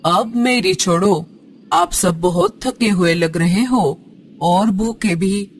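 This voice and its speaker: A woman acts out an old mother bird from a children's cartoon. She speaks very slowly, with a motherly manner and a middling pitch for a female voice. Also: slight background noise, lightly acted. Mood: gentle, sorrowful. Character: old yellow bird, kindly grandmother turned gloating witch. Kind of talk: Hindi cartoon character voice.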